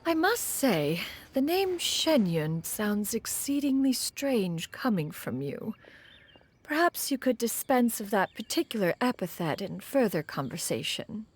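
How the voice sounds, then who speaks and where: A woman's voice: mid-range voice, very animated, unhurried, husky. A woman voicing an ancient crane spirit in the form of a stately old woman, speaking in a formal, archaic, haughty way.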